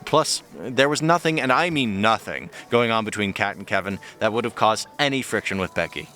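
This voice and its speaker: Candid doubting friend, sardonic, expressive mid voice, audio drama w faint music. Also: male voice, lowish voice, brisk. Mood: matter-of-fact. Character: skeptical, candid male friend of the detective. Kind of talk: naturalistic audio drama acting.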